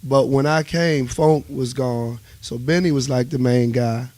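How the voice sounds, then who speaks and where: A man's voice: mid-range voice, fairly even intonation, a little slow, a little mellow, dry recording. Laid-back man chatting in a dry studio. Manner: informal, conversational, peppered with "you know". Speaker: interviewed go-go musician. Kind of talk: casual interview chat, reminiscing. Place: radio studio.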